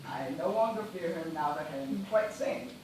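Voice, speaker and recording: A man acting a shipwrecked gentleman in a stage play, resigned and bitter, speaking in a formal, measured, theatrical way. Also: a little high, expressive, lightly acted. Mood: grave, reasoning. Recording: distant, echoey, noisy live theatre recording.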